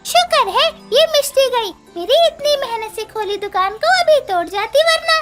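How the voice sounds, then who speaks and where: A woman's voice: very high-pitched, expressive, moderate pace, a bit bright, soft background music. A woman voicing a small, assertive female bird who runs a shop in a children's cartoon, speaking emphatically. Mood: bossy, relieved.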